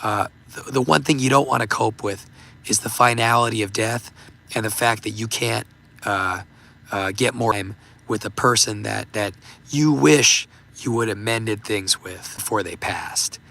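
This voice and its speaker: Gravelly guy w a hoarse voice that keeps giving out, bright edgy tone, lots of uh and you know. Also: fairly low pitch. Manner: rambling, halting, many fillers and restarts. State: hoarse, losing his voice. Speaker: livestream host. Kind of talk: casual livestream talk reading super chats.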